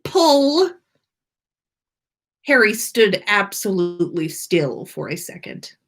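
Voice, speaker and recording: A woman narrates a story aloud in the manner of a podcast reading. Her delivery is unhurried and expressive, with lively movement in pitch. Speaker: podcast host reading listener stories. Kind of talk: animated fan fiction storytelling.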